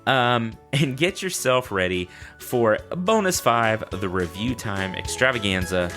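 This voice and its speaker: A man's voice: medium pitch, expressive, a little slow, a bit bright, faint music behind. A man hosting a podcast solo in a casual, unscripted way, chatting playfully and rambling with self-deprecating humor. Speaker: podcast host.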